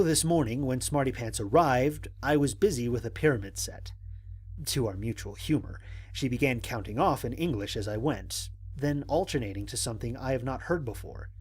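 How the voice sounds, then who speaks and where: A man playing a wry, analytical stranded astronaut, narrating mission logs in an audio drama in a measured, articulate way. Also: medium pitch, husky. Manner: measured, articulate, dryly humorous. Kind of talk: dramatic scripted log narration.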